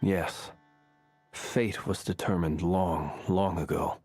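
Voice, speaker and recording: An actor playing a solemn, courteous, centuries-old gentleman in a video game, speaking husky, measured, formal lines. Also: low-pitched, fairly even intonation, heavily acted. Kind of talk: video game character acting.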